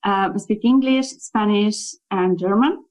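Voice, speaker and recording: A woman's voice: mid-range voice, unhurried, darkish tone. A young Italian woman, a native Italian speaker, talking about her work as a localization project manager in a casual interview, thoughtful and full of fillers and restarts. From Italy. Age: young adult.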